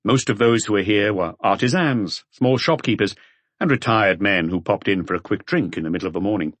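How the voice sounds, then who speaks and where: A man reading a mystery audiobook as scripted narration, in a measured storytelling manner. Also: lowish voice, no echo.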